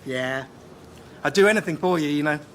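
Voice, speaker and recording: An actor playing an earnest, devoted boyfriend who is easily exasperated, in radio sketch comedy. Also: male voice, fairly high pitch, a little fast, fairly bright tone, a little hiss or hum, close to an everyday voice. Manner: earnest, incredulous.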